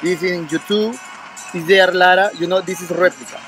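A man's voice: sits high, steady tone, normal speed, a bit bright, faint music behind. A man in his thirties from Peru with a Peruvian Spanish accent, a valet talking eagerly in broken English on a city street. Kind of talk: street interview.

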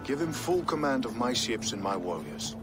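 A man's voice: mid-range voice, sing-song, normal speed, music in the background, lightly acted. An actor playing an authoritative young Viking king in a game drama, regal as he gives orders. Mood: stately. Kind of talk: cinematic video game drama.

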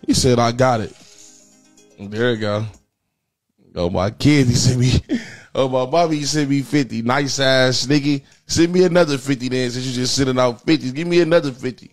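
An American man in his thirties hosting a comedy livestream with casual, profane, repetitive slangy banter. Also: medium pitch. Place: indoor studio in front of a printed backdrop.

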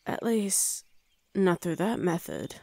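Soft, mellow demon girlfriend, acted by a woman. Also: on the low side, big pitch swings, slow, exaggerated voice. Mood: reassuring, hesitant. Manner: hesitant, soothing, often dropping to a breathy whisper. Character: flustered, gentle young female demon hell knight girlfriend. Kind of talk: intimate ASMR roleplay addressed to the listener.

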